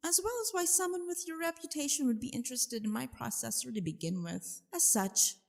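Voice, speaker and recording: A woman's voice: sits high, brisk, creaky. An actress playing a cunning, dangerous lady villain in a comedic audio drama, imperious and menacingly polite in formal diction. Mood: haughty, threatening.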